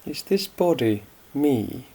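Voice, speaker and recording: A man guiding a meditation in a calm, unhurried manner, leaving long pauses between phrases. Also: medium pitch, husky. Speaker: meditation guide. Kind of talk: guided meditation, unscripted instruction.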